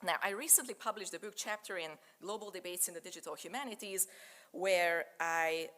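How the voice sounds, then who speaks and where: A woman's voice: fairly low pitch, pushed and bright. Lecturing woman, native Hungarian speaker. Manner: measured, explanatory, with frequent "uh" and "so" fillers. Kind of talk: academic lecture. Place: lecture room in a German-speaking country.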